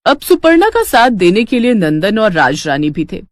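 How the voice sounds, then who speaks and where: A woman's voice: mid-range voice, very animated, normal speed, a little hiss or hum. A woman narrating a scripted moral bedtime story in a dramatic storytelling style.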